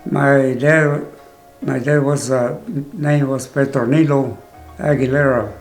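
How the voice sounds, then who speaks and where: Grandfather from Mexico, 80s, unhurried and gravelly, with faint music behind. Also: mid-range voice, steady tone. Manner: short plain answers with pauses. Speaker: interviewee, grandfather. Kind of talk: casual family interview.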